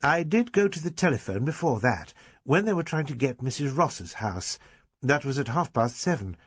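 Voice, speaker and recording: A man reading aloud and voicing an elderly rector who is gentle, fussy, slow and measured. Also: medium pitch, very animated, slightly rough, no room sound, pitched above the performer's own voice. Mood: explaining.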